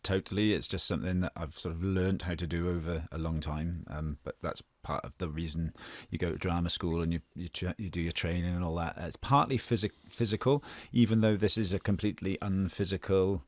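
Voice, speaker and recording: Low-voiced man thinking aloud. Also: steady tone, brisk, few pauses, no room sound. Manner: self-deprecating, thinking aloud. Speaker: actor and writer. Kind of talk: casual chat. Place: home bedroom. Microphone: studio-style microphone, close.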